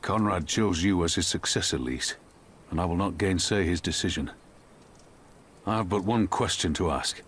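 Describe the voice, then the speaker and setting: Deep, solemn rebel commander. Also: male voice, normal speed, a bit bright, slightly rough. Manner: formal, archaic diction. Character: solemn, formal male resistance commander. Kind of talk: video game cutscene voice acting.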